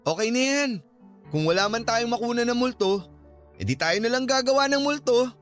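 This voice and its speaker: An eager young male vlogger in a Filipino radio drama. His voice is high for a man, with a polite, persuasive manner. Faint music plays behind him. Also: animated, normal speed, fairly bright tone. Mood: casual, scheming.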